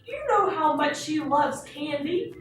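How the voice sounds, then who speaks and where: A performer voicing a trusting, stubborn young boy puppet in a puppet show, earnest and quick to exclaim indignantly. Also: high-pitched, lively intonation. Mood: cheerfully trusting. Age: child character. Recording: echoey room, distant mic, music behind.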